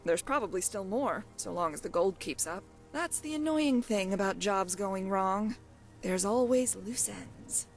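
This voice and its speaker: A woman's voice: medium pitch, animated, measured pace, soft background music. A woman playing a glib, evasive thief companion in a fantasy video game, talking in a wry, coy way. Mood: wry, relieved. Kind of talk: scripted video game dialogue.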